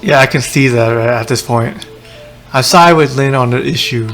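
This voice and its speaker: A man's voice: medium pitch, normal speed. A man giving casual gameplay commentary as a streamer. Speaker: game streamer. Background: game music.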